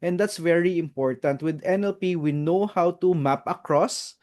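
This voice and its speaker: A man from the Philippines, hosting as an NLP master trainer, speaking in a confident, steady conversational way with "uh" pauses and "right?" tags. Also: on the high side. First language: not English. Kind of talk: conversational interview.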